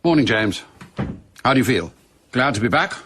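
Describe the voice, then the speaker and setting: A man acting in a radio drama as a middle-aged British intelligence chief, brisk, authoritative and clipped. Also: medium pitch, very animated, fairly bright tone, slight background noise. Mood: stern, probing.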